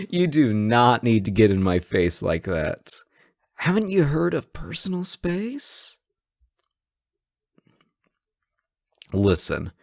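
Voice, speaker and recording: A man's voice: fairly low pitch, a little mellow, no echo, studio quality, full character voice. A man acting a sarcastic, teasing hostage who taunts a supervillain in a conversational, theatrical roleplay audio drama. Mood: exasperated, teasing.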